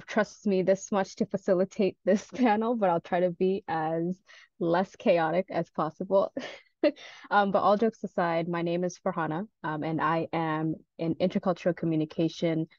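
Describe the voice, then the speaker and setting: Low-voiced woman on a muffled call. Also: steady tone, moderate pace, a little mellow, dry recording. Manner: self-deprecating, polite. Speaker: panel facilitator, postgraduate student. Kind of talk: informal panel moderation. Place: online video meeting. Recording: dull, muffled online call audio.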